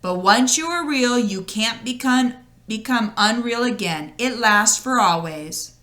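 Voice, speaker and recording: A woman voicing a wise, gentle old toy horse in a storybook, patiently explaining. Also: on the high side, lively intonation, measured pace.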